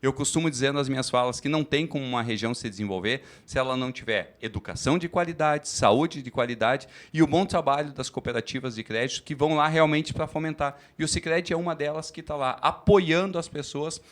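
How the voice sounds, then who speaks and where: A man, a credit cooperative executive giving steady, formal explanations in a studio interview at a fair. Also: fairly high pitch, quick pace, hardly any pauses.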